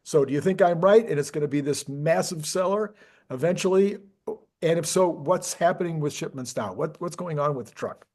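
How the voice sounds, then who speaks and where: A man's voice: fairly high pitch, moderate pace, darkish tone. A man hosting a casual interview, putting questions in an informal, conversational way. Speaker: interview host.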